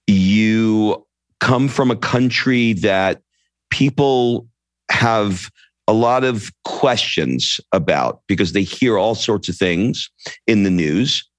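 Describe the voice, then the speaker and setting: Man, podcast host, lowish voice, upbeat promos, soft measured questions. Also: expressive. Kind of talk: scripted show intro, then interview questions.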